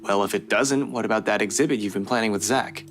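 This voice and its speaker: A woman's voice: very deep voice, fast, pitched below the performer's own voice. A woman voicing a young man comforting a close friend, reading a visual novel aloud over guitar music. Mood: casual, prodding.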